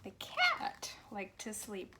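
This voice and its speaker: Slow, animated woman reading to children. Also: medium pitch. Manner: expressive, simple explanations for young children. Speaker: speech pathologist reading a children's story. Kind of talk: children's storybook read-aloud. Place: cozy corner at home. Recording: faint background noise, home recording.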